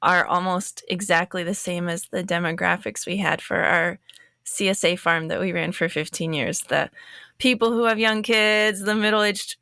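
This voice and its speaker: A woman's voice: lowish voice, steady tone, moderate pace, pushed and bright, dry recording, studio quality. A woman hosting a podcast interview, asking friendly, leading questions.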